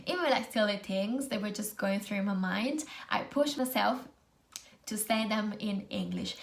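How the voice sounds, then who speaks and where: A young Italian woman whose first language is Italian, giving casual advice in English to camera with an Italian accent, chatty and full of "like" and "basically". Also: medium pitch. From Italy. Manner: chatty, lots of "like" and "basically", non-native phrasing. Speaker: vlogger.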